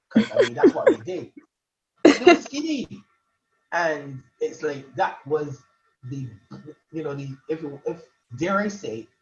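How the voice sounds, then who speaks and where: A man, a guest poet, chatting casually on a podcast and often stumbling over and repeating his words. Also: medium pitch. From Barbados. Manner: halting, stammers and repeats words. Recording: roomy, dull lo-fi sound.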